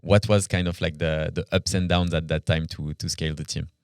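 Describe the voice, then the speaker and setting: A man's voice: very deep voice, flat delivery, brisk, darkish tone, clear, resonant tone, dry recording, clean studio sound. A man hosting a casual interview, speaking informally and encouragingly to his guest. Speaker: podcast host interviewing.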